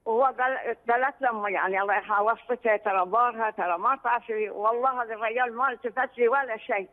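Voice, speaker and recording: A woman's voice: on the high side, fast talker. A woman calling in by phone, telling a long rambling personal story with some anxiety. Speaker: caller. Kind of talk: phone-in question to a religious scholar. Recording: tinny phone line with long reverb.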